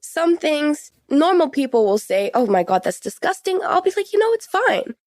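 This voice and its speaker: A woman's voice: high voice, very animated, normal speed, darkish tone, dry recording, studio quality. A young woman in her late teens talking frankly and informally about her own experiences as an interview guest, often saying "like". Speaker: podcast guest. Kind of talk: personal interview, recounting experiences.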